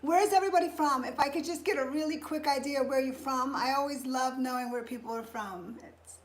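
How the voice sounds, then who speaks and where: A woman's voice: high voice, fairly quick. A woman in her fifties hosting a casual live stream, greeting viewers by name and chatting about fitness. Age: 50s. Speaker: fitness host. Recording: echoey room.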